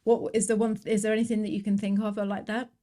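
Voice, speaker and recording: A woman's voice: medium pitch, level tone, fast talker, mellow. A woman hosting a conversational podcast interview, chatty and reflective, thinking aloud with frequent restarts. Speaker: podcast host.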